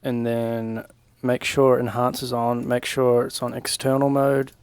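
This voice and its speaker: Young guy walking thru a music software tutorial, super flat intonation, chill and slangy, mid pitch. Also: unhurried, smooth, no room sound. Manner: laid-back, informal, slangy. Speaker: music production tutorial presenter. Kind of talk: casual screen-share tutorial, talking through each step. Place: small home room, indoors. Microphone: desk microphone on a boom arm.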